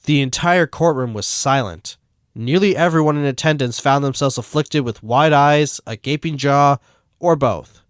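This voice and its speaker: A man reading fan fiction aloud as the narrator in a steady, plain way. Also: mid-range voice, moderate pace, clean tone, no room sound. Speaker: fanfic reader.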